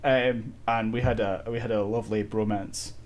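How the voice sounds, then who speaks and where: A Scottish man co-hosting a casual podcast chat, telling halting, rambling stories and laughing easily. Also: on the low side, steady tone, darkish tone, a little hiss or hum. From Scotland. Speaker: podcast co-host.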